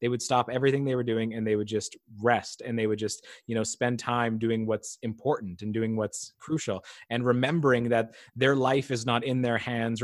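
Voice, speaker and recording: A man's voice: mid-range voice, no echo, studio quality. A man in his early thirties in casual chat, talking reflectively with many fillers. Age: 30s.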